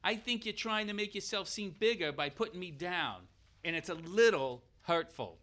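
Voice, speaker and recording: A man's voice: sits high, lively intonation, normal speed, fairly bright tone. A man playing a burly, aggrieved, argumentative outlaw in a comic radio play. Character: big, burly, indignant male outlaw with hurt pride. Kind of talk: radio-play comedy acting.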